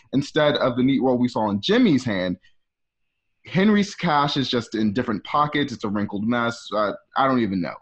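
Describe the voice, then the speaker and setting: A man narrating scripted scene directions for an online radio drama, now and then stumbling and correcting himself as he reads. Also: medium pitch. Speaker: narrator reading screen directions. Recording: dull lo-fi home recording.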